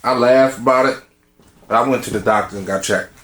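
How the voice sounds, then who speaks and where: A man's voice: mid-range voice, a little fast, a bit bright. A man in his fifties in a candid group discussion, speaking frankly and personally. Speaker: discussion participant. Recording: roomy echo.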